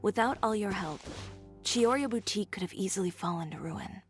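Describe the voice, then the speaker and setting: A woman voicing a confident, sharp-tongued tailor in a game, talking with dry teasing wit. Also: medium pitch, brisk, music in the background, close to an everyday voice. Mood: sincere.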